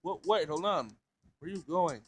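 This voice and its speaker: Polite young human for a visual novel, unsure and questioning, fairly high male voice a man reads the part. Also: expressive, moderate pace, slight background noise, lightly acted. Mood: bewildered. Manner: hesitant, questioning.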